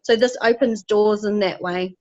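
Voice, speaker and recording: A woman from New Zealand's far north with a New Zealand accent, a netball team organiser answering interview questions in a relaxed, friendly way. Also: mid-range voice, normal speed, muffled recording. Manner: relaxed, friendly, some ums.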